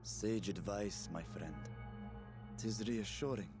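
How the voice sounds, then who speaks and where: An actor playing a grave, determined warrior in a fantasy video game, speaking in a solemn, formal way. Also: male voice, fairly low pitch, over music. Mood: measured. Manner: solemn, measured, formal speech. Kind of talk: dramatic video game voice acting.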